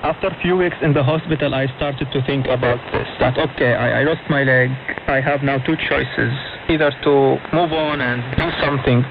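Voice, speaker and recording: A man's voice: medium pitch, fairly even intonation, normal speed, few pauses, dull audio. Young man on noisy shortwave radio. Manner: speaks fluent but non-native English in short phrases. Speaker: interview guest. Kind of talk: recounting personal experiences in a radio interview. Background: clear radio static and noise.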